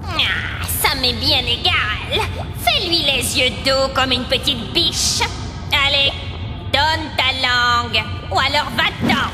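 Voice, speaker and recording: A greedy, mocking sea witch speaks high, inside a long cavernous reverb. She is theatrical and menacing, taunting in a cartoon fairy-tale manner, with music prominent underneath. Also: lively intonation, takes it slowly. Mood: greedy, scornful. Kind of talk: dubbed animated fairy-tale acting.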